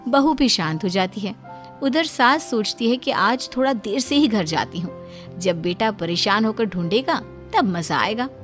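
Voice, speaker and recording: A woman's voice: mid-range voice, animated, unhurried, over music. A woman narrating a cartoon story in a wry, storytelling manner.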